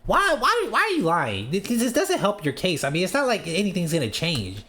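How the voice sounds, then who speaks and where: A man giving loud, mocking, slangy running commentary as he reacts to a dating show. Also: sits high, huge pitch swings, normal speed. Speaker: reaction video host.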